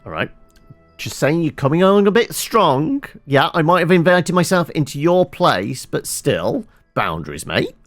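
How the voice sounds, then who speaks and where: A man gives casual, wry commentary while streaming a game. His mid-range voice moves animatedly and often drops into vocal fry as he rambles to viewers. It is recorded close and dry, with faint music underneath. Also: on the high side, big pitch swings, normal speed, dry recording. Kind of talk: casual live playthrough commentary, chatting with viewers.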